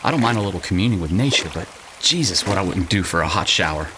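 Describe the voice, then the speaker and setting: Man as a low-voiced, cheeky wisecracking rogue. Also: expressive, fast. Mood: cheeky, casual. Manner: casual, joking, irreverent. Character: cheeky, flirtatious, wisecracking male drifter. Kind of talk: naturalistic audio drama acting. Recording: rain-like background noise.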